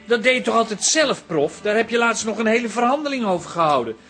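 An actor playing a shy, awkward photographer who hesitates and stammers, in a comedy radio drama. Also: male voice, high voice, animated, fast talker, fairly bright tone. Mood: teasing. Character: shy, awkward male photographer, easily flustered.

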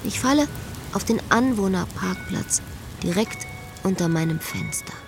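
A woman's voice: medium pitch, animated, unhurried, music in the background. An actress playing a lovesick, restless woman who speaks her inner thoughts in a radio drama. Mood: alarmed.

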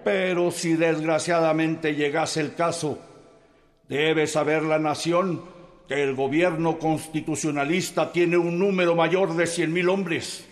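An actor playing a stern revolutionary leader giving a formal, grave speech to assembled officers in a radio drama. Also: male voice, fairly high pitch, fairly even intonation, takes it slowly, long reverb tail. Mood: solemn. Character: stern aging revolutionary leader resigning command.

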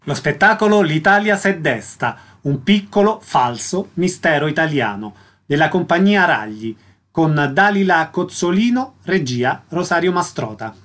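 A man's voice: fairly high pitch, expressive, unhurried, a bit bright. A man reading a scripted announcement as a radio announcer.

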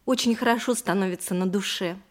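An actress playing a naive, wondering milliner in an audio drama, speaking in an artless, musing way. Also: female voice, medium pitch, brisk, lightly acted. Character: naive, wondering unmarried milliner of ancient Greece. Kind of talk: theatrical audio drama with first-person narration.

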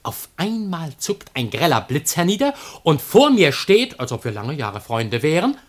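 An actor playing a cheeky, boastful slave in a classical comedy radio drama, telling tales with exuberant theatrical relish. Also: male voice, fairly high pitch, huge pitch swings, moderate pace. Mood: comic, relishing.

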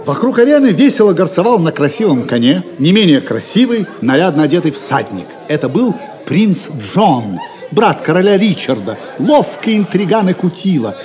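A man's voice: on the high side, sing-song, moderate pace, darkish tone. A man giving dramatic scripted narration for an audio drama. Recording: crowd noise behind. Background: crowd of spectators.

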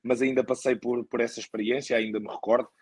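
A man with a European Portuguese accent talks as a podcast guest in a dry, echo-free recording. His mid-pitched voice moves through reflective, halting answers, and he repeats words to stress a point. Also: little pitch movement, husky. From born in Angola, moved to Portugal very young. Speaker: guest, football coach. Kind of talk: conversational interview answers.

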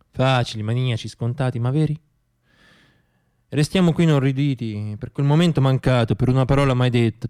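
A man performing a theatrical radio monologue in an expressive, declamatory way, moving between poetic reflection and wry irony. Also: medium pitch, smooth.

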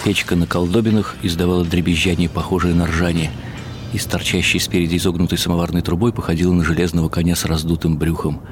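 An actor playing a world-weary writer who narrates a literary radio drama in a wry, ironic, musing way. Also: male voice, sits low, flat delivery, fast, noisy background, close to an everyday voice. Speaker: narrator and lead character.

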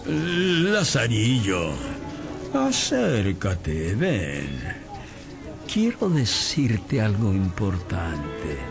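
An actor playing a cruel, cunning old blind beggar master in a radio drama, with a mocking, theatrical, scolding manner. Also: male voice, fairly low pitch, wildly swooping pitch, extremely slow, vocal fry, exaggerated voice. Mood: sly. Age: older. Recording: music bed behind voice.

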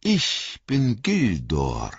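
A man voicing an ancient, gracious elf lord who speaks slowly and solemnly. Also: fairly low pitch, wildly swooping pitch, husky, no echo, dull audio, pitched above the performer's own voice, exaggerated voice. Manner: slow, solemn and stately.

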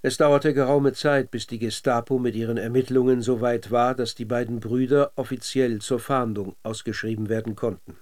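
A man with a standard German accent narrates a scripted radio documentary drama in a measured, factual way. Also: medium pitch, fairly even intonation, a little mellow, a little hiss or hum.

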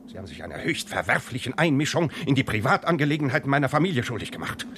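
A stern old colonel in a sixties radio play. He speaks fast and formally, in curt commands that swing widely in pitch. There is some background noise. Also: big pitch swings, gravelly, fairly bright tone. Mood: stern indignation. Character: stern, indignant old English colonel, master of the house. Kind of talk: 1960s German radio drama acting. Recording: 1960s radio play, noisy ambience.

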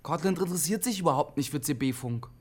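A man's voice: a little high, lively intonation, brisk. An actor playing a defiant, lonely young man telling invented stories in short, matter-of-fact statements in a radio drama. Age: young adult. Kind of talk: naturalistic German radio drama acting.

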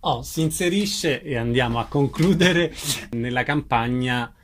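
A man's voice: medium pitch, unhurried, a little hiss or hum. A man hosting an interview and moderating the talk. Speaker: interviewer, host.

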